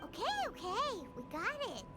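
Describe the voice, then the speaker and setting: A woman voicing a tiny, childlike, cheeky female sprite companion in a video game, with a squeaky, bubbly, blunt delivery. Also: sing-song, moderate pace. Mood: exasperated. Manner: bubbly, blunt, talks about herself in third person. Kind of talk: English video game voice acting. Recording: loud music behind the voice. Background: loud game music.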